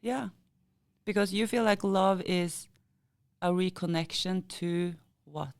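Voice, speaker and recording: A woman's voice: lowish voice, slow, gravelly. A woman co-hosting a podcast, asking curious questions in a casual, teasing way and laughing often. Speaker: podcast co-host. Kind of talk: casual conversational chat.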